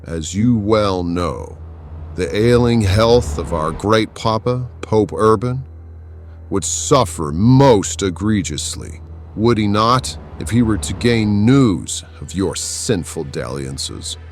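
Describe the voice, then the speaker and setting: Man voicing a slow, deep, menacing medieval cardinal. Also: animated, faint music behind. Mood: threatening, commanding. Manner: slow, commanding, pompous. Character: menacing, all-seeing medieval cardinal with godlike arrogance. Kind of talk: dramatic audiobook character acting.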